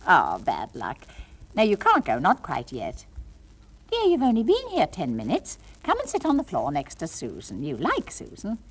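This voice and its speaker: English nursery school teacher for comic monologue. Patient but firm, patronising sing-song coaxing, hugely animated pitch. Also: female voice, moderate pace, slightly rough, heavily acted. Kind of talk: comic monologue, one side of classroom talk.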